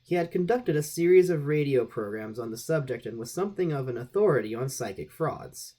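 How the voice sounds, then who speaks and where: A man's voice: medium pitch, lively intonation, fairly quick, warm tone. A man reading a vintage magazine article aloud as a scripted audiobook narration. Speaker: audiobook reader.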